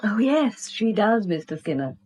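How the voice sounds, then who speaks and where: Poised wife with swooping intonation. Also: female voice, mid-range voice, very animated, a little slow, darkish tone, a little hiss or hum. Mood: cool, pointed. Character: poised, loyal bank manager's wife. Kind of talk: 1970s radio drama acting.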